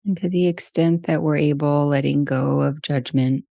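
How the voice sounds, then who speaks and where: Female meditation guide, soft-edged mellow tone, gentle spaced phrasing, close dry sound. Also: low voice, steady tone, gravelly, dry recording. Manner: slow, gentle pauses between short phrases.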